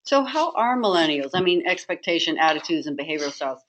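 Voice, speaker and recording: A woman's voice: fairly low pitch, big pitch swings, fast, a bit bright, slight background noise, low-quality recording. A woman trainer co-presenting a business webinar, encouraging and asking prompting questions.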